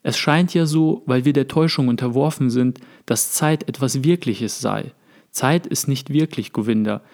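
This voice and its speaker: A calm male sage from a read-aloud novel, voiced by a man in his own gravelly voice. He speaks in measured, contemplative phrases, earnest and steady. His tone stays at an ordinary middle height. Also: medium pitch, close to an everyday voice. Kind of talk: read-aloud literary dialogue.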